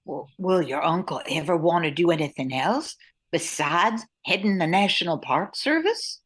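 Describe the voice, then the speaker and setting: A woman playing a steady but worried female pilot in an amateur radio drama. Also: on the low side, normal speed, breathy edge. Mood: concerned. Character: steady, worried woman bush pilot running small airline.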